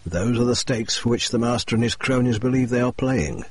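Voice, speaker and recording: A man voicing a calm, dry, precise male detective, measured and composed in a slightly lowered husky voice. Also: no room sound, close to an everyday voice. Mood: explaining.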